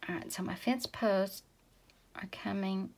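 A woman from Tennessee giving a relaxed step-by-step painting lesson, talking as she paints and sometimes dropping to a whisper. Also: medium pitch, measured pace. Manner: relaxed, talks while painting, drops to a whisper at times. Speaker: painting instructor. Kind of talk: casual step-by-step tutorial. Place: indoors at a work table.

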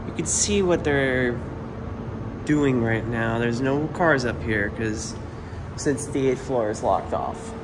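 A man's voice: mid-range voice, takes it slowly, noisy background. A man giving plain, matter-of-fact running commentary while filming elevators in a parking garage on a handheld phone. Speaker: elevator video host. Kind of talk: casual narration while filming. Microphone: handheld phone camera.

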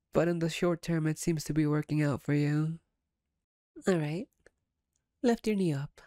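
A woman's voice: sits low, mellow, dry recording, clean studio sound. A woman playing a playful, domineering female vampire captor in an intimate ASMR roleplay, teasing and sardonic. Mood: amused exasperation, then bossy, coaxing.

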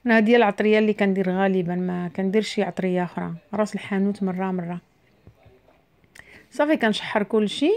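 A woman's voice: mid-range voice, fast talker. A middle-aged woman with a Moroccan Arabic accent, chatting through each cooking step in a home cooking voice-over. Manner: chatty, explains each step as she cooks. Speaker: cooking vlogger.

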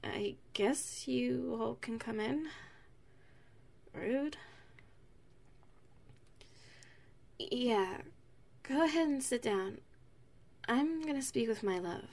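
An affectionate young-at-heart wife, acted by a woman in an intimate ASMR roleplay. Her voice is fairly high and she takes her time, speaking softly and playfully to her partner. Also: expressive, gravelly, exaggerated voice. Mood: surprised, uneasy. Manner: soft, intimate, unhurried. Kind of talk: ASMR roleplay, one side of a dialogue.

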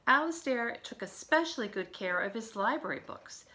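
A woman's voice: on the high side, expressive, moderate pace, sharp tone. A woman in her fifties reads a picture book aloud to young children, expressively, with chatty asides, recorded at home. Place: living room at home, by a window.

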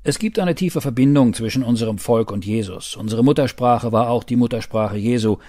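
Casting: male voice-over for documentary reportage, soft dark timbre, measured read, dry studio-style recording. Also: mid-range voice, dry recording. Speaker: voice-over narrator. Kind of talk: scripted reportage narration and voice-over.

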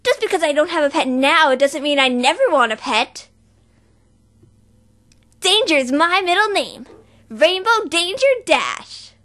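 Brash show-off flying pony girl, a woman doing the voice, lively and bright. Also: sits high, a little slow, pauses often. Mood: cocky. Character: brash cocky tomboy pegasus pony girl. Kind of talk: fan voice reel impression.

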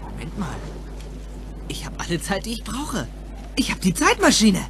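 An actor playing an earnest, impulsive teenage boy time traveller in an audio drama, with an excitable, urgent delivery. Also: sits high, moderate pace, slight background noise, exaggerated voice. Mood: thinking aloud. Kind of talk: German audio drama acting. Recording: music under the voice.

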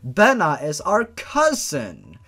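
Guy doing a commanding sister, emphatic and drawn out, higher pitch, story reading. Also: huge pitch swings, slow pace, sharp tone, exaggerated voice. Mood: insistent.

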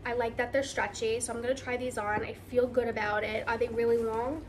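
Higher-voiced excited woman chatting, some noise. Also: brisk. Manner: gushing, excited, conversational. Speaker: vlogger. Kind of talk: casual unboxing chat to camera.

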